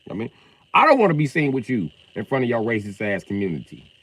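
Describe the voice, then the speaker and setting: A man chatting casually on a livestream, loud and profane, with an animated delivery. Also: mid-range voice, fast, no room sound.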